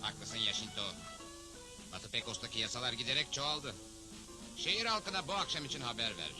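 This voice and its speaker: A man's voice: medium pitch, expressive, fairly quick, over music, natural voice, poor audio. A man voicing a level-headed, fair cowboy hero in a Turkish cartoon dub, speaking with assurance. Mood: decisive.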